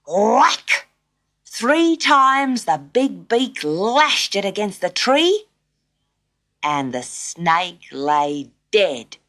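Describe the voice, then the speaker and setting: A woman reading a children's story as dramatic narration, expressive and full of suspense, often dropping to hushed tones. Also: medium pitch.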